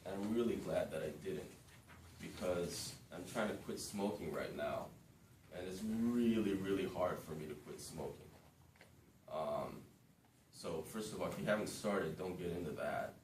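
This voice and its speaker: Deep-voiced man talking to a class. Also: moderate pace, a little mellow. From born in the United States, raised in Japan. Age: 30s. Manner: casual, frequent "um" and "okay?" check-ins. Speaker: guest speaker to students. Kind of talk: informal classroom talk. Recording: roomy, distant, noisy room recording.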